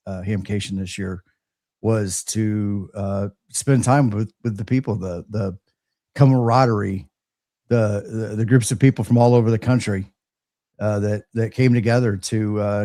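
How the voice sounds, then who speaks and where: Halting man chatting casually, dry close sound. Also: on the low side, darkish tone, no echo. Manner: halting, stammering delivery with repeated words. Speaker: host of a live ham radio show. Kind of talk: casual chat, storytelling.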